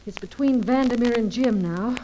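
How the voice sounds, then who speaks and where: A woman's voice: on the high side, normal speed, close to an everyday voice. An actress playing a plucky, worried female adventurer in an old radio serial, earnest and urgent. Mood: concerned, pleading. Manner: earnest, urgent, crisp pleading. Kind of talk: 1940s-50s radio adventure serial acting. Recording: crackly old radio recording.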